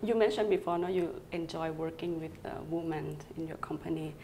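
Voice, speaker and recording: A woman with a Thai accent asking interview questions in halting, simply phrased English. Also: low voice, warm tone, slight background noise. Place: office, indoors.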